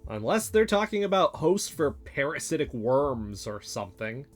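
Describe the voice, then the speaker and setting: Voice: medium pitch, big pitch swings, normal speed. A voice in scripted audio drama dialogue, wry and conspiratorial, lifting up when spinning a theory. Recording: music bed underneath.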